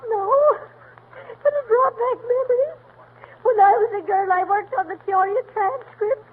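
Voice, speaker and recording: An actress playing a chirpy housewife who banters fondly with her husband on an old radio comedy. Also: moderate pace. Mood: wistful. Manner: high, lively banter. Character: chirpy Midwestern housewife, teasing and fond. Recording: tinny 1930s radio broadcast.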